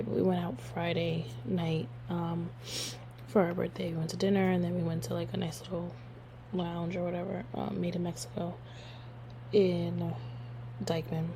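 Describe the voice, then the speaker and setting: A young woman hosting her own podcast, hoarse from losing her voice, chatting casually and rambling with lots of fillers. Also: on the low side, a little hiss or hum. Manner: rambling, filler-heavy, relaxed. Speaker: podcast host. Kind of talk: casual solo podcast chat.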